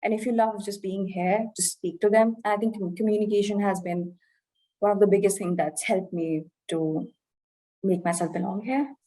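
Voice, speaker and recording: A woman in her twenties from India, with an Indian English accent softened by life in the UK, speaking earnestly and reflectively as an interview guest, with fillers and restarts. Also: mid-range voice, fairly even intonation, normal speed, rough recording. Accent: Indian English, softened by years in the UK. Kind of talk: candid conversational interview.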